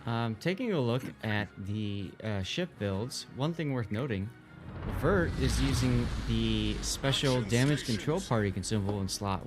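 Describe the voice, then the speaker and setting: A man commentating live on a video game tournament in a casual, explanatory way. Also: on the low side. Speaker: esports commentator. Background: music.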